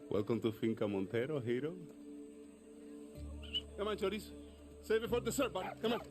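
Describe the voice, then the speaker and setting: An actor playing an excitable, joking guerrilla sidekick with a who shouts and swears. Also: male voice, a little high, brisk, music in the background. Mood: excited.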